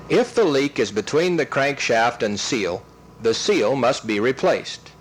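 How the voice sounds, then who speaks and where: A man's voice: mid-range voice, poor audio. Man narrating instructions with varied intonation. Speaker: training film narrator.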